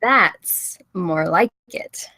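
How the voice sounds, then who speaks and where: Lively, teasing wife, read by a woman. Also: on the low side, very slow, no room sound, exaggerated voice, low-quality recording. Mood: playful. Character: playful, teasing wife, curious yet guarded. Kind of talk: film script table read, naturalistic dialogue.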